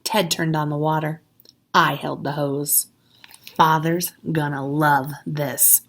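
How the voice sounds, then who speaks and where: A woman reading a children's story aloud expressively, with dramatic pauses and hushed asides. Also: sits low, little pitch movement. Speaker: storybook reader, teacher.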